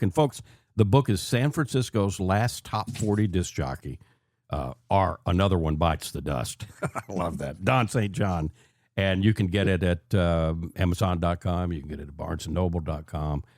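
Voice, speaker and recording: Older man, lowish voice, dry close sound, radio interview host, halting restarts. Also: darkish tone, no room sound. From American South. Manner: halting, repeats phrase openings.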